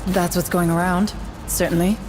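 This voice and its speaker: A woman playing an earnest, determined deputy colony administrator in a video game, speaking in a polite, measured way. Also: lowish voice, little pitch movement, noisy background, lightly acted. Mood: businesslike. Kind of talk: cinematic video game acting. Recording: loud music behind.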